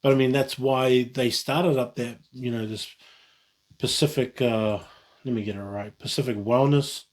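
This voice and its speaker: A man's voice: medium pitch, steady tone, normal speed, darkish tone. Rambling man. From New Zealand. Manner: rambling, frequent restarts and self-corrections. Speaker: vlogger. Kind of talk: casual solo talk.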